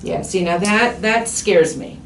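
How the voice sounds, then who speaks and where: A woman with a slightly low voice gives a candid consultation as a foot doctor. She is measured and plain-spoken, heard a little away from the mic in an echoey room. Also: creaky, recorded from a distance, a little hiss or hum. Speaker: podiatrist. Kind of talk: frank consultation with a patient.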